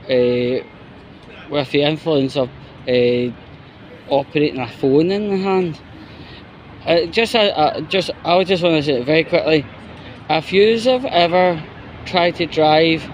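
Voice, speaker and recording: A man's voice: a little high, slight background noise. A man giving an opinionated, exasperated, sweary commentary in a casual vlog style. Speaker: YouTuber.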